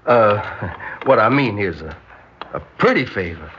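An actor playing a smooth-talking, scheming young man in a radio drama, with folksy, drawn-out phrasing. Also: fairly low pitch, big pitch swings. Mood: flattering. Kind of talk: vintage-style radio drama acting. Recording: dull lo-fi radio recording, faint noise.

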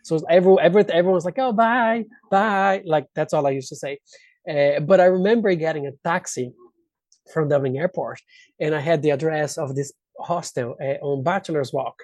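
A Brazilian man, a native Portuguese speaker, with a mellow and slightly high voice. He tells personal anecdotes in a casual interview style, often acting out remarks. The sound is close and dry. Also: fairly quick, no room sound. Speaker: interview guest, actor.

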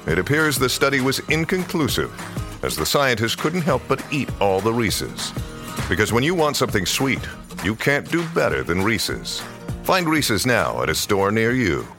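A man reading a scripted advert in a mock news-report style. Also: on the low side. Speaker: advertising voice-over.

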